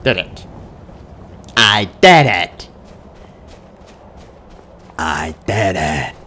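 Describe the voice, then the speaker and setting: A man's voice: low voice, big pitch swings, very slow, pushed and bright, a little hiss or hum. A man giving casual, playful and sarcastic commentary while playing a video game. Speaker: gaming video host.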